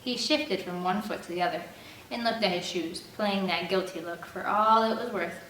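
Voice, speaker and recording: A woman reading a novel aloud in an expressive first-person narration. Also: lowish voice, normal speed. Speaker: audiobook reader. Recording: echoey room, distant mic, faint air-conditioner hum.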